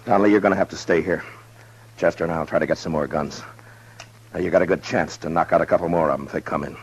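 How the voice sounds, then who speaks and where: Very deep-voiced American western lawman. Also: fairly even intonation, fast, muffled recording, slight background noise. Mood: commanding, urgent. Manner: terse, authoritative. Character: commanding, steady western lawman who narrates his own story. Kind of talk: old-time radio western acting and narration. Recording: old radio drama with music behind.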